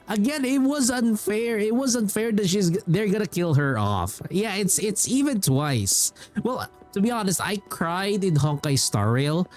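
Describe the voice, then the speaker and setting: A man's voice: high voice, a little mellow. A man, casually rambling as a game streamer and repeating phrases for emphasis. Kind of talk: casual livestream chat about games. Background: music.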